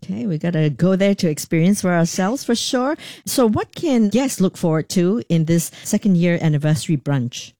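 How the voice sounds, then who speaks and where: A woman's voice: lowish voice, expressive, normal speed, few pauses, mellow, no room sound, clean studio sound. A woman interviewer putting questions in a conversational way.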